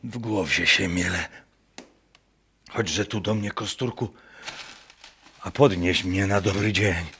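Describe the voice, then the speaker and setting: An actor playing a simple, hard-drinking Masurian woodcutter who sees visions, in rustic, halting dialect for a radio play. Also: male voice, deep voice, sharp tone, heavily acted. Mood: weary muttering. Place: wooden barn, staged with musicians. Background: music.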